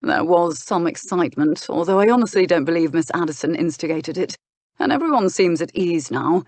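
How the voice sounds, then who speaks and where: A woman voicing a patient, exasperated gentleman in a historical romance audiobook, with a measured, dry, reasoning manner. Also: fairly low pitch, animated, no room sound.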